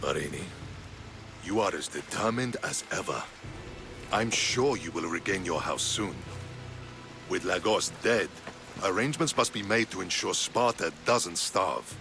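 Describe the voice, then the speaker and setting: A stern, honourable Spartan commander in a video game. He speaks very low with a creaky, gravelly edge. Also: a little slow. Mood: sombre. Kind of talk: dramatic video game dialogue. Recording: game soundtrack music behind the voice. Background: music.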